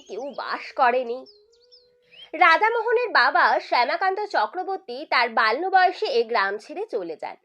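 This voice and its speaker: High-pitched, expressive woman storyteller. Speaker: storyteller reading for own channel. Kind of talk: scripted audiobook narration of a literary short story. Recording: music behind the voice. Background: background music.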